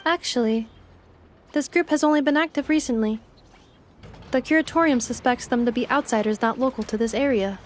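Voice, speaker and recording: A woman's voice: a little high, fairly quick, a little hiss or hum, lightly acted. A woman voicing a polite, literal-minded robot assistant in a video game, formal, precise and composed. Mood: matter-of-fact.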